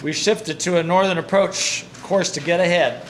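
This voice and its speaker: An actor playing an eager submarine second-in-command in a war drama, crisp and military. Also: male voice, high-pitched, moderate pace, a bit bright, slight background noise, rough recording. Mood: businesslike. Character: eager male submarine executive officer in wartime. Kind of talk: radio-play style war drama acting.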